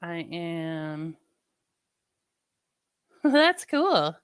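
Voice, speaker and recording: A woman with a St. Louis accent in casual chat with viewers, talking in a slow, drawling way. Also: lowish voice, very animated, smooth. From Midwestern United States. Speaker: streamer chatting with viewers.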